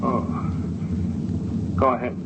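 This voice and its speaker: An actor playing an officious starship radio operator in 1950s radio drama, clipped and official. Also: male voice, takes it slowly, lightly acted. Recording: dull, noisy old radio transmission.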